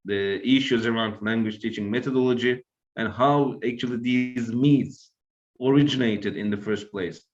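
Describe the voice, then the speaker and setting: Turkish-accented man lecturing, lo-fi sound. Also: mid-range voice. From Turkey. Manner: explanatory, tags points with "right?". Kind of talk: academic lecture.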